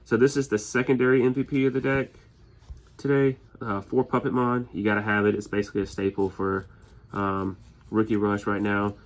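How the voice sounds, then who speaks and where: Low, flat-voiced man with a cold. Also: fairly quick. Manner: easygoing, many fillers and restarts. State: slight head cold, sniffly. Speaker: tournament winner presenting his deck. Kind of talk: casual deck walkthrough.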